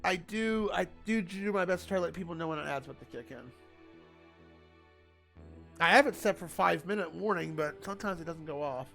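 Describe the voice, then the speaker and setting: A man streaming a video game, talking in a loud, rambling, chatty way to his viewers. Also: high-pitched, a little fast, gravelly, faint music behind. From Italian family. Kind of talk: casual gaming livestream chat.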